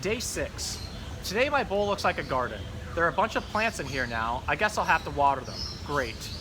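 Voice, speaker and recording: A fussy, put-upon pet goldfish read by a man tells his diary in a storybook style. The voice sits a little high, with dry grumbling. Clear ambient noise runs behind it. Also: very animated, normal speed. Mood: wary, disgusted. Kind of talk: children's storybook read-aloud.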